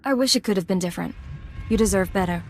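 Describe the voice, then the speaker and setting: An actress playing a composed, resolute young undercover imperial agent in a video game, terse and controlled. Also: female voice, mid-range voice, quick pace, slight background noise, close to an everyday voice. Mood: regretful. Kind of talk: dramatic video game acting.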